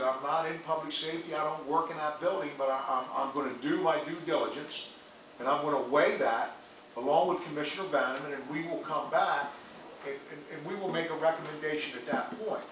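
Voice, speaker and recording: A man's fairly high voice carries through a very echoey meeting room with clear background noise. He speaks as an official, explaining things in a careful, measured way. The microphone is some distance from him. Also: sharp tone. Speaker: mayor. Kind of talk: public meeting remarks, explaining.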